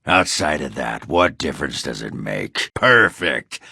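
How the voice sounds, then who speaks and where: Gruff drunk dwarf fighter from a video game, super deep n raspy, blunt and boastful. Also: male voice, very animated, measured pace, sharp tone, no echo, studio quality, full character voice. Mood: gruff, impatient. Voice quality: gravelly, raspy. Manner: blunt, boastful, swearing, sardonic. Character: gruff, crude, hard-drinking middle-aged male dwarf warrior. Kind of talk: video game character dialogue.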